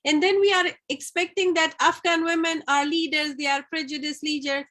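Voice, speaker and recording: A woman's voice: high-pitched, steady tone, moderate pace, bright, forceful tone. A woman speaking as a panelist, making her points emphatically and asking rhetorical questions. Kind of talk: panel discussion.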